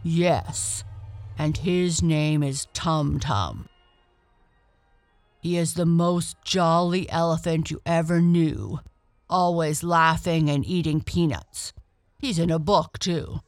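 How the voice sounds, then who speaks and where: A woman's voice: deep voice, slow, dry recording, studio quality, soft background music. A woman voicing a big, shaggy, friendly male dancing bear for a storybook, with a hearty, kindly, emphatic manner. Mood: cheerful, proud.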